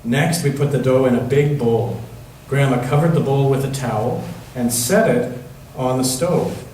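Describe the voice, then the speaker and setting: A man's voice: medium pitch, darkish tone. Echoey man reading to children, distant mic. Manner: pauses to ask the listening children questions. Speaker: church children's story reader. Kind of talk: reading a picture book aloud to children. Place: large echoey church interior. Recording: very echoey church room, distant mic, faint noise.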